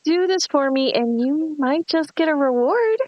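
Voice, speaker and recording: A woman's voice: sits high, measured pace, highs cut off. A woman playing a bossy, sarcastic young woman scheming a prank in a screenplay table read. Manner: sarcastic, foul-mouthed, commanding.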